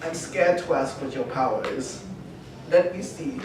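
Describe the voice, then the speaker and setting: A woman's voice: rumbling low, measured pace, darkish tone. A woman playing a patient but exasperated teacher of young superhero children in a comedic script read live. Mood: weary, exasperated. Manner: coaxing, wearily exasperated. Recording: echoey panel room, distant mic, faint noise.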